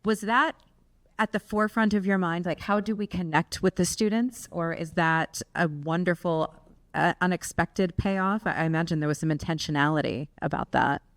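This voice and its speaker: A woman's voice: fairly low pitch, normal speed. A woman moderating a talk in a lecture room, asking the speaker appreciative questions.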